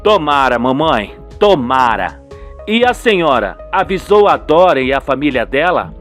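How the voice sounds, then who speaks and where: A man plays a concerned young man, someone's suspicious son, in a radio soap. He talks slowly and earnestly over faint music. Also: a little high, very animated, a bit bright, voice pushed down.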